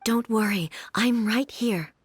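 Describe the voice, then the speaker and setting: A woman playing a solemn, stern warrior ruler in a video game, speaking in a measured, formal and authoritative way. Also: fairly high pitch, slightly rough. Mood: reassuring.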